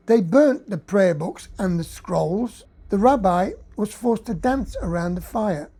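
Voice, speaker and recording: A woman with a husky voice giving a quiet, grave first-person reading of a testimony. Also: mid-range voice, lively intonation, a little slow. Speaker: reader of a survivor's testimony. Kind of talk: read testimony.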